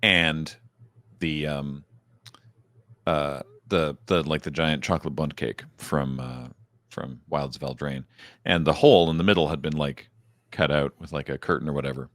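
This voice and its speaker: A man's voice: extremely low pitch, fairly even intonation, moderate pace, fairly bright tone, no echo, clean studio sound. A man co-hosting a podcast, telling a rambling story in casual chat with many restarts. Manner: rambling, self-interrupting storyteller. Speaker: podcast co-host.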